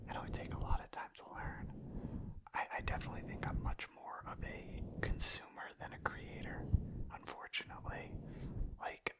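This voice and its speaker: A man whispering softly and breathily in a casual, unscripted ASMR ramble, gentle, hesitant and self-effacing, close to the microphone. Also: poor audio. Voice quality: soft, breathy, unvoiced whisper. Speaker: ASMR video creator and streamer.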